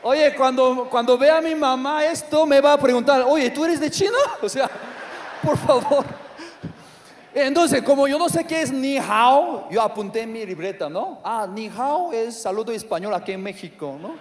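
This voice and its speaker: Korean-accented male stand-up, high voice, non-native halting delivery with shouted bursts, handheld mic in a reverberant theater. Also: lively intonation, slight background noise. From South Korea. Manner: halting non-native Spanish, filler-heavy, sudden shouted outbursts. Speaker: stand-up comedian. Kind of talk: stand-up comedy set. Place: large theater stage. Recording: live hall reverb with audience.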